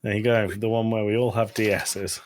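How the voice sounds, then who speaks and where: Male co-host, lower voice, casual movie chat, irreverent and self-deprecating. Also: little pitch movement, normal speed, a little hiss or hum. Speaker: podcast co-host.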